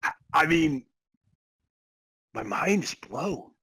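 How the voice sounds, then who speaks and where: A man's voice: fairly high pitch, very animated, slow, vocal fry, bright, forceful tone, slightly rough, dry recording. A man co-hosting a comedy podcast, reading aloud theatrically and chatting casually, often amused. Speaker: podcast co-host. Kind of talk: dramatic reading of fan fiction and casual banter.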